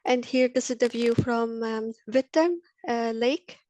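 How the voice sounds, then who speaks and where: A woman's voice: on the high side, a little slow, darkish tone, slight background noise. A woman in her thirties from Egypt, a university lecturer, giving an earnest webinar presentation with frequent ums and uhs. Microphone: video call.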